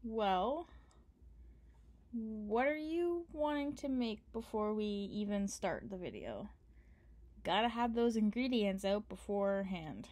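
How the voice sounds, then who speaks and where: A woman's voice: medium pitch, animated, slow, darkish tone. A young woman doing an ASMR roleplay as a partner, playful, affectionate and teasing. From Canada. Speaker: ASMR performer.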